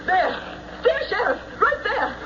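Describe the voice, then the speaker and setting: An actress playing a distraught, defiant farm wife in an old radio drama, emphatic and quick to bristle. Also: female voice, very high voice, big pitch swings, fairly bright tone, heavily acted. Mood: insistent. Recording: muffled vintage radio recording, faint noise.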